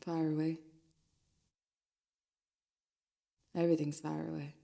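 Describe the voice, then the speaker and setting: Radio drama monologue: actress as a transfixed young woman, slightly low voice, conversational, halting and repetitive. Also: level tone, vocal fry, dark tone. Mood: numb, detached.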